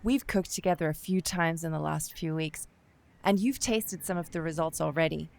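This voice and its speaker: Woman as proud affectionate mom, middle aged, lowish voice, audio drama. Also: moderate pace, gravelly, darkish tone.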